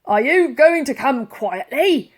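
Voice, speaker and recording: A woman's voice: high-pitched, animated, slow pace, voice pushed up. A woman voicing a pompous, bossy male police commissioner who hisses and barks exasperated orders in a children's storybook. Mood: menacing.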